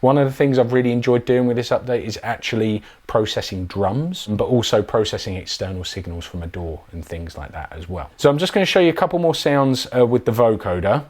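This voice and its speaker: A man's voice: mid-range voice, lively intonation, brisk, few pauses, a little mellow. A man presenting a casual product demo tutorial in an informal, enthusiastic way. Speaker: synth demonstrator, presenter. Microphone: microphone.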